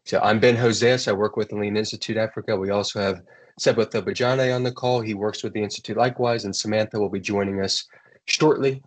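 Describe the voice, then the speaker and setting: An American man hosting an online webinar, introducing the event and speakers in a relaxed, rambling way. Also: fairly low pitch, fairly quick. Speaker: webinar host.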